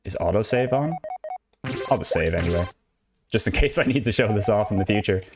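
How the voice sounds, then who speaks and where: A man's voice: fairly low pitch, animated, a little fast. A young Irish man with an Irish accent, casually chatting through a live gameplay stream in an upbeat, rambling way. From Ireland. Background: game music.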